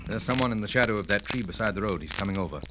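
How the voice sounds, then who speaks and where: An actor playing a cautious, educated gentleman, the earnest and loyal companion of an investigator in a 1930s radio drama. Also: fairly low pitch, expressive, very fast, lightly acted. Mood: concerned. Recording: noisy, clicking, dull 1930s radio recording.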